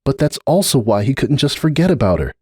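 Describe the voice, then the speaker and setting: Man voicing an insistent, exasperated cartoon mare, pitched high. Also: big pitch swings, a little fast, darkish tone, husky, dry recording, studio quality. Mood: impassioned. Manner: insistent, argumentative, emphatic. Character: earnest, exasperated female cartoon pony pleading her case.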